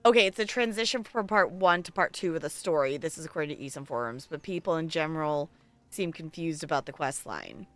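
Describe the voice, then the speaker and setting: Bright, animated woman streaming a game. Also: low-pitched, a little fast, dry recording. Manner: playful, rambling, self-deprecating. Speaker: live streamer playing an online game. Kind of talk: casual gaming stream chat. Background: music.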